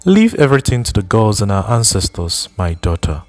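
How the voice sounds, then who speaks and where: A man voicing an older village woman who laments slowly and at length to a grieving mother in a storybook reading. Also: lowish voice, big pitch swings, a little mellow, soft background music. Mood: lamenting. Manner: slow, mournful, long-winded.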